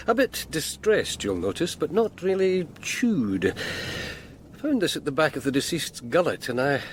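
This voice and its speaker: An actor playing a genial Scottish pathologist in a radio drama, dryly humorous, with a hesitant, measured delivery. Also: male voice, medium pitch, a little hiss or hum. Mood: dry, grave. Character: chatty, genial male pathologist reporting findings.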